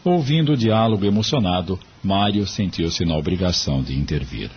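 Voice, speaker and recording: A man's voice: sits low, animated, a little fast. A Brazilian man narrating an audio drama in a scripted, dramatic style. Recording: muffled lo-fi audio, clear background noise.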